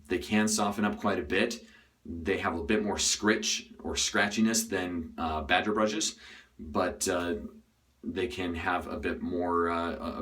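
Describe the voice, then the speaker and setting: A man hosting a shaving vlog from a hotel bathroom, his voice slightly raspy from days of talking, rambling with many uhs and restarts. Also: deep voice, a bit bright, far from the mic. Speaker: shaving video host. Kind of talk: casual to-camera vlog.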